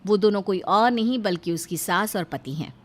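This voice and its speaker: A woman's voice: medium pitch, normal speed. A woman narrating a scripted moral story as a storyteller.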